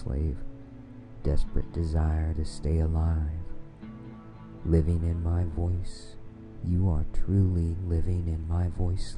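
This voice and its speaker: A man delivering a scripted domination hypnosis monologue in a commanding, domineering, deliberately repetitive manner. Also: sits low, vocal fry.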